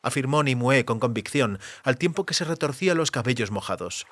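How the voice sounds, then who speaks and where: A man's voice: medium pitch, lively intonation, fairly quick, a bit bright. A man reading scripted literary narration for a fantasy audiobook.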